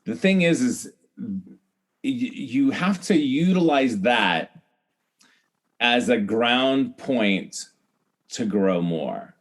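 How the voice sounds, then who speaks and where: A man's voice: medium pitch, expressive, drawn-out words, long pauses. Earnest man, casual podcast guest. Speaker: podcast guest, celebrity hair stylist. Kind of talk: casual conversational interview.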